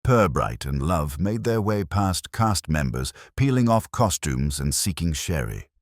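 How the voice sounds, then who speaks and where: A man narrating a scripted mystery story in a measured, wry, literary way. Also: low-pitched, sing-song, no echo, clean studio sound.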